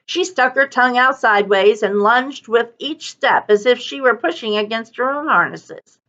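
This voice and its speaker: A woman reading a children's story aloud like a teacher, with deliberate, dramatic emphasis. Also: on the high side, bright, forceful tone, dull audio. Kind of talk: expressive children's story narration.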